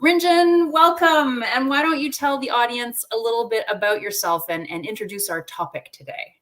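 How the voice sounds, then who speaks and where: A woman's voice: fairly high pitch, moderate pace, a bit bright. A woman hosting a live wildlife webinar, friendly and upbeat, talking conversationally over a video call. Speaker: host.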